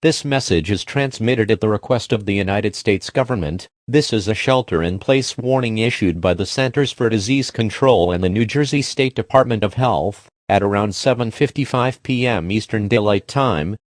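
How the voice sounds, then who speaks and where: A man reading a scripted emergency broadcast alert in a formal, measured, official manner. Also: on the low side, no echo, clean studio sound.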